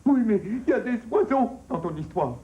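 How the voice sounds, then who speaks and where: A performer voicing Fear, a jumpy, timid character who speaks in a jittery, giggly, squeaky way. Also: big pitch swings, normal speed, mellow, muffled recording, a little hiss or hum, natural voice. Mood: scared. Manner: jittery, giggly, squeaky with wild pitch swings. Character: jumpy, timid Fear, startled by every sound.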